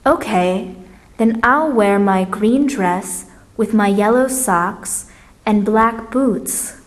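A woman playing a friendly girl in a scripted English lesson dialogue, enunciating carefully and deliberately. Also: mid-range voice, lightly acted, rough recording. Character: Sarah, friendly girl phoning a friend about a party.

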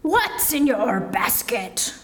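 Big Bad Wolf, voiced by a woman, slow and sly. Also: a little high, big pitch swings. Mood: coaxing. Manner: slow, coaxing questions. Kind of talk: school English audio play.

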